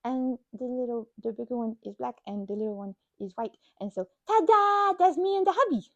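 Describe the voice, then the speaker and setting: A woman with a fairly high, very lively voice plays the best friend in an ASMR roleplay. She rambles from one aside to the next with childlike excitement. The sound is dry and intimate, with no room echo. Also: fairly quick, dull audio. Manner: rambling, scattered, jumping between asides.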